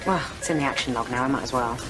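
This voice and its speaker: An actress playing an authoritative British detective inspector in an audio drama, brisk and questioning. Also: female voice, very deep voice, loud music behind the voice, low-quality recording. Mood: businesslike. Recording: clear background noise.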